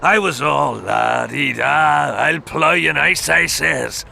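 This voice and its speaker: An actor playing a drunken, foul-mouthed soldier in a video game, slurring and rambling crudely. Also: male voice, a little high, slow pace, gravelly, sharp tone, slight background noise, full character voice. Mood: confused, crude.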